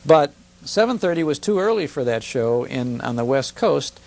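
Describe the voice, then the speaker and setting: An older man with a gravelly voice, explaining and recounting things conversationally as a broadcaster being interviewed. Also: a little high, very animated, normal speed, a little mellow. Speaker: radio show broadcaster, interview guest. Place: television studio.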